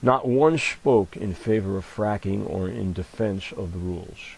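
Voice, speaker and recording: A man reporting as narrator, reading a measured, pointed voice-over about an event. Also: sits low, creaky, a little mellow, slight background noise, poor audio.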